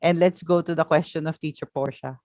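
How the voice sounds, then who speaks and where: A woman moderating an academic roundtable, speaking in a measured, formal and polite way. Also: deep voice, fairly even intonation, darkish tone, no room sound. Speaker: panel moderator.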